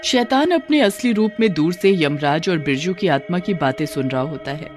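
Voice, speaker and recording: A man reads scripted narration for a cartoon folk tale. His voice sits a little high and moves with very animated intonation, with music behind it. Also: normal speed.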